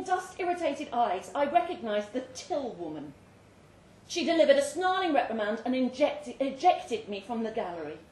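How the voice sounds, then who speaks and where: Woman reading a spooky story live, really animated, roomy distant mic with a bit of hiss. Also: sits high. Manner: expressive, theatrical, suspenseful delivery. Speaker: story reader. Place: indoor live literary event venue.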